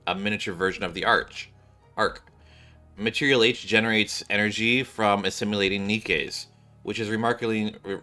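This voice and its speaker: A man's voice: on the low side, bright, forceful tone. A man streaming a game, reading its narration and text aloud and occasionally correcting his own slips. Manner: stumbles and corrects himself while reading. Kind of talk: live read-along of game script. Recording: background music behind the voice.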